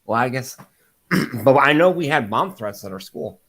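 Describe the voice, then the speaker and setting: Man, forties, mid-pitched, casual co-host, short remarks, steers the topic. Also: expressive, normal speed, fairly bright tone. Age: 40s. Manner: brief interjections, steering the conversation. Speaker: podcast co-host. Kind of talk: casual true-crime chat.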